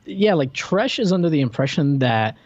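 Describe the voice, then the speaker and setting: A man's voice: fairly high pitch, big pitch swings, moderate pace, a little mellow, no room sound, muffled recording. A man co-hosting a casual film discussion, recounting a plot in a rambling, conversational way full of "like". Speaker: podcast co-host.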